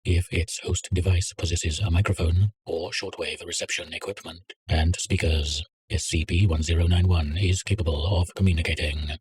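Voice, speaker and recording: A man with a very deep voice reads a classified file in a measured, clinical tone. Every line comes out steady and even. A faint hiss runs under the recording. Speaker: narrator. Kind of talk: scripted reading of a containment file.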